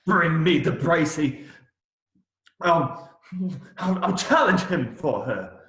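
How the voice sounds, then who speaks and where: Male actor as young minstrel, radio drama, slow delivery, defiant and lovestruck, roomy sound. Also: a little high, animated, a bit bright, exaggerated voice. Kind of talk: radio play acting. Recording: roomy, echoing voice.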